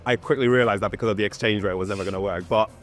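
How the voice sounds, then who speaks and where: Man from nigeria, fast talker, lowish voice, animated, faint music behind. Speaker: former solicitor turned founder. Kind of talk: casual panel discussion.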